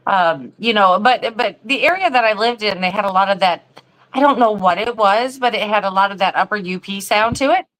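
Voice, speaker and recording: A woman's voice: mid-range voice, a little fast, fairly bright tone, poor audio. A woman with a mixed Midwestern and Southern American accent, chatting casually as a talk show co-host. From Michigan and Missouri, USA. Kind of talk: casual chat.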